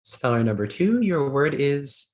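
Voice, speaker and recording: A man serving as pronouncer in an online spelling bee, reading words and definitions in a measured, formal way. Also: mid-range voice, sing-song, a little mellow. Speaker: word pronouncer. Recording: dull lo-fi call audio.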